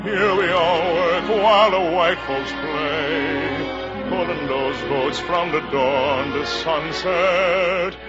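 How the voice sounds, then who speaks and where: An actor playing a weary, burdened riverside labourer, singing in a classical theatrical style on a 1950s radio show. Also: male voice, fairly high pitch, steady tone, takes it slowly. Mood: weary, mournful. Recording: muffled vintage radio recording. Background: loud orchestral music.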